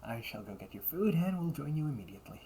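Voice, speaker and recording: A young butler cafe waiter , acted by a man, speaks in formal, deferential service phrases. His pitch moves in lively swings, in the manner of an amateur audio roleplay. There is some noise behind the voice. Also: fast. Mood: courteous, formal. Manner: formal, courteous service talk with muttered asides.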